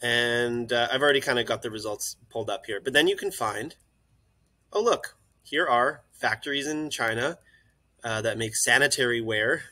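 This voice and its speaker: A young man who runs a hot tub shop, talking casually at his computer through a screen-share tutorial with lots of "uh" fillers. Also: medium pitch. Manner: relaxed and friendly, many "uh" fillers. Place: indoors, recording at a computer.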